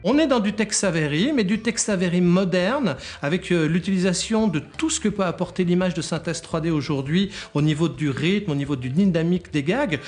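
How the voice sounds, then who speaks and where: A man's voice: high-pitched, expressive, brisk, hardly any pauses, a little mellow, faint music behind. A man giving interview remarks, hesitating and repeating words as he thinks.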